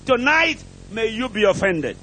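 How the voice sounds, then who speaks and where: A male preacher with a strikingly high-pitched voice delivers an impassioned sermon. He declaims in short, repeated phrases and puts rhetorical questions to his listeners. There is faint noise and rumble behind him. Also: very animated, unhurried, sharp tone, rough recording. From outside England, preaches there as a visitor. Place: church pulpit, preaching to a congregation.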